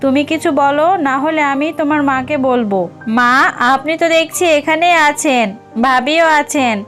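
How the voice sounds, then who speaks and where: A woman's voice: high-pitched, fairly even intonation, normal speed, fairly bright tone. A woman voicing a spoiled young wife in a cartoon who complains and demands rest. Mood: complaining. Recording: background music under voice.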